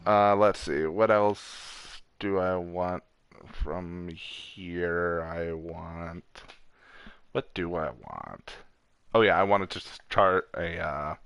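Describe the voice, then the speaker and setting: A man's voice: low voice, extremely slow, creaky, a bit bright, smooth, no room sound. A man streaming a game, talking in an informal, playful way with casual commentary. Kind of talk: casual gaming chat and commentary.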